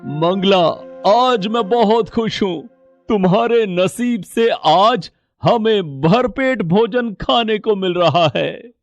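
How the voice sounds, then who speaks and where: A man playing a poor, humble husband in a moral story, with a drawn-out, plaintive delivery. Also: high voice. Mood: happy. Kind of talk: moral storybook cartoon voice acting. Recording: muffled, roomy echo, faint music.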